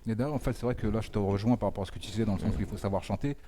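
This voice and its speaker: A man's voice: on the low side, rapid-fire, dark tone, a little hiss or hum. A man giving his views in a casual discussion. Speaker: panelist.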